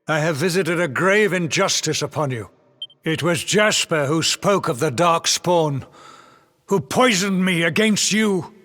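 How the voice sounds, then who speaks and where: A man's voice: fairly high pitch, no room sound, studio quality. An actor playing a grandiose middle-aged king in a game cutscene, speaking in a formal, theatrical and courtly way. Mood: regretful. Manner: formal, stately, theatrical delivery. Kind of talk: scripted game cutscene acting. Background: loud music.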